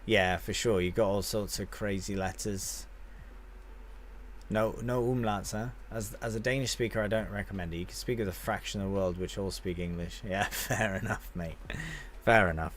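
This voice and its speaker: Chatty low-voiced man streaming a game. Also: fairly even intonation, moderate pace, fairly bright tone, slight background noise. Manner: chatty and rambling, answering chat with friendly banter. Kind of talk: casual live-stream chat with viewers.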